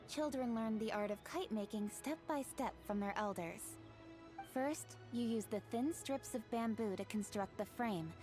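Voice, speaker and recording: A woman voicing a composed young official who explains traditions in a measured, earnest way. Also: medium pitch, close to an everyday voice. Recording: game audio with soft music.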